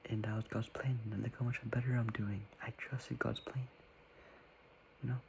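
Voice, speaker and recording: A man's voice: medium pitch, flat delivery, normal speed, creaky, a little hiss or hum, poor audio. A young man giving earnest, rambling advice in a whispery voice, circling back and repeating himself.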